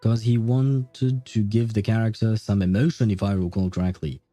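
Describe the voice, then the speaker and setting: Male game streamer, soft mellow tone, dry close sound with music underneath, loose rambling chat. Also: lowish voice, fairly even intonation, normal speed, no echo. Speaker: livestream host.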